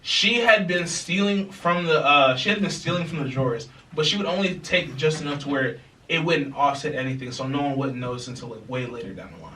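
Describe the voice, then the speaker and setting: A young man co-hosting a podcast, telling a story in a casual, animated way, laughing and acting out other people's lines. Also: fairly high pitch, a little fast, slight background noise. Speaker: podcast co-host. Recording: echoey room, mic a bit far.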